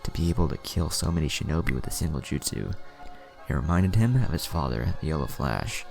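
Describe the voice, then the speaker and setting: A man's voice: rumbling low, expressive, brisk, creaky, darkish tone. A man reading scripted fan fiction as a narrator. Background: music.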